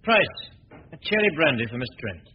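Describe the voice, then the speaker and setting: An actor playing a suave, confident amateur detective in a 1940s radio drama, talking in a breezy, teasing, self-assured way. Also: male voice, on the high side, sing-song, moderate pace. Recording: dull old broadcast recording with faint hiss.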